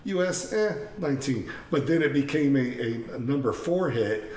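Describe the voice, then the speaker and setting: A man's voice: mid-range voice, lively intonation, normal speed, darkish tone, far from the mic. A middle-aged man co-hosting a casual music chat, rattling off chart facts. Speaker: podcast co-host. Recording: echoey room, away from mic.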